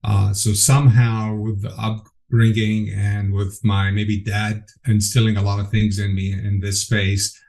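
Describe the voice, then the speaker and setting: Man, middle-aged, from Egypt; low-leaning, earnest, eager guest who restarts phrases. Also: flat delivery, unhurried, gravelly, hardly any pauses, darkish tone. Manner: earnest, enthusiastic, frequent restarts. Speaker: guest, program director. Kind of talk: conversational interview.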